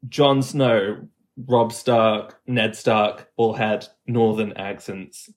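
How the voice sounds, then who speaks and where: A man's voice: lowish voice, extremely slow. A man from the English Midlands with an English accent, chatting as a guest accent coach and explaining things with examples. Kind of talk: casual interview chat.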